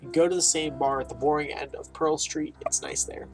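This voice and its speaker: A man's voice: fairly high pitch, fairly even intonation, fairly quick. A man hosting a gaming video, casually reading game text aloud and reacting with informal, muttered asides. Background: game music.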